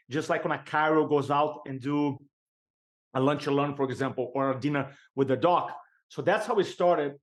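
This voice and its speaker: A middle-aged man with a Brazilian Portuguese accent speaks as a guest on a podcast, in a fairly high voice. He tells stories with energy, laughs often and acts out the people he quotes. His first language is Portuguese, and it shows in his English. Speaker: sales and speaking coach, guest. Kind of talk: storytelling interview chat.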